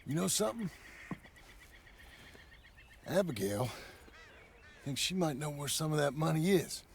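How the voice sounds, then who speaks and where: Younger Western game outlaw: gravelly, higher-pitched, hesitant and troubled, American drawl, ambient noise behind. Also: male voice, lively intonation, a little slow. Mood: uncertain. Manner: hesitant, brooding. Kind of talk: dramatic video game acting.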